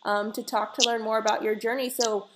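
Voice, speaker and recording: A woman's voice: fairly high pitch, flat delivery, fairly quick. A woman hosting a podcast interview, talking in an enthusiastic, chatty way with many fillers. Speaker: podcast host.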